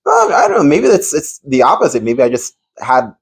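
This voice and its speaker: A man from New York, an astronomer guest in an interview, talking modestly with lots of pauses and fillers. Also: mid-range voice, big pitch swings, breathy edge. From New York City, USA. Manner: hesitant, many fillers, modest. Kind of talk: casual interview chat.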